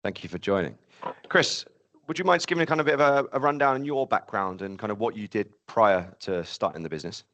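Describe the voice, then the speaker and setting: A man hosts an interview show and asks his guest questions. He talks quickly in a slightly low voice. His questions wander, padded with "like" and "kind of". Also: expressive, fairly bright tone. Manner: chatty, rambling with "like" and "kind of". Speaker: podcast host and interviewer. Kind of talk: interview hosting.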